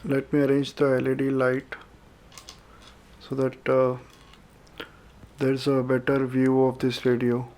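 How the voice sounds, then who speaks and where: A man's voice: medium pitch, completely flat delivery, unhurried, pauses often, a little mellow. A man reviewing a radio, talking hesitantly and methodically with many filler sounds, recorded indoors at a desk. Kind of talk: product review and unboxing. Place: indoors at a desk with a tripod camera.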